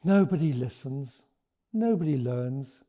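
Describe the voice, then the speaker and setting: A man voicing a small, gentle, resolute male dragon from a storybook in measured, emphatic phrases. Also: mid-range voice, animated, natural voice. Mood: resigned.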